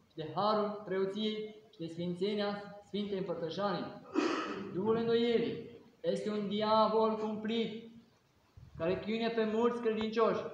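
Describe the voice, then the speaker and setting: High-voiced Romanian priest preaching in echoing church. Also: male voice, slight background noise. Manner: exhorting, rhetorical questions, earnest preaching cadence. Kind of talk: sermon. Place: large ornate church, indoors. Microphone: no mic, camera a few steps away. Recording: very echoey church, dull sound.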